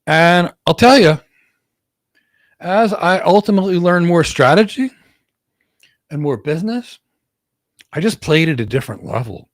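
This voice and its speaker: A man's voice: a little high, animated, unhurried, long pauses, dry recording, studio quality. A man from Greece with an American accent, hosting and answering viewers' career questions in an emphatic way, often repeating words for stress. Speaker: host, cloud architect.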